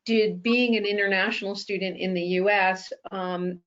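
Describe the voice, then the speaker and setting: A woman's voice: medium pitch, fairly even intonation, moderate pace, fairly bright tone, muffled recording. A woman hosting a webinar interview, asking long questions with many ums. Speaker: webinar host. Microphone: video call.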